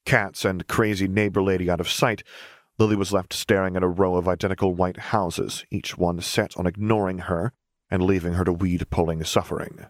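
A man's voice: sits low, flat delivery, normal speed, dry recording, studio quality. A man reading a story aloud as scripted audiobook narration.

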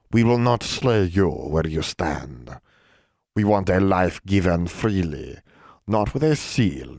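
Man doing a sly elf chief, slow formal talk, deep breathy voice, dry close sound. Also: a little mellow, dry recording, full character voice. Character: sly cold elf leader, male, calm and menacing.

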